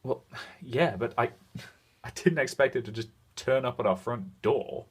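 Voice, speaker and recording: A man's voice: mid-range voice, moderate pace, slightly rough, lightly acted. A man acting a courteous, wry demon fighter in an audio story, speaking in polite understatement. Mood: doubtful.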